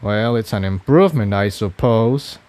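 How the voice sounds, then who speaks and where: A man's voice: on the low side, animated, moderate pace, a little mellow, close to an everyday voice. A man voicing a grumbling male unicorn tinkerer in a storybook reading. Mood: exasperated. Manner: dry, grumbling.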